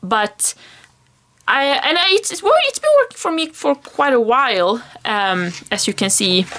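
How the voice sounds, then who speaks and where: A woman's voice: a little high, big pitch swings, measured pace, fairly bright tone. A young woman whose first language is Swedish, chatting to camera in a rambling, self-conscious way with frequent small laughs. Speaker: planner vlogger. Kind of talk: casual talk to camera.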